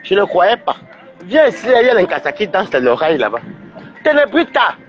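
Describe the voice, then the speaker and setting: Lively high-pitched man with a cold. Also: fairly quick, muffled recording, soft background music. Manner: scolding, repetitive, stumbling restarts. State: stuffy with a cold. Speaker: livestreamer. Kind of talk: rambling livestream rant.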